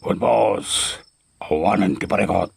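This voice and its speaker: Man acting a deep gravelly gang henchman. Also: a little slow, dry recording, studio quality, exaggerated voice. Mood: obedient. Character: gruff male henchman foreman in a drug gang. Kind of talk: Ilocano radio-style crime drama acting.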